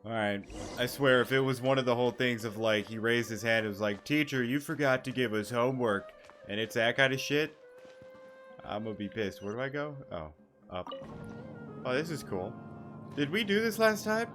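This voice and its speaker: A man's voice: medium pitch, moderate pace, fairly bright tone, faint music behind. A young man giving casual let's play commentary, slangy, sweary and joking. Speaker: gaming YouTuber.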